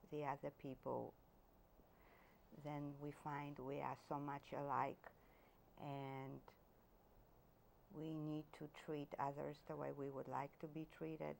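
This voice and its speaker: Woman w/ really low flat voice, gravelly, calm and steady, bit of hiss. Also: slow, rough recording. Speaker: interviewee. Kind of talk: interview answer.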